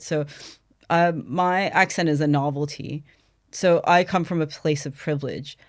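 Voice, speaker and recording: A woman from America with an American accent, hosting a podcast interview with lively, chatty questions. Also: low voice. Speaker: podcast host. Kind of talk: conversational interview.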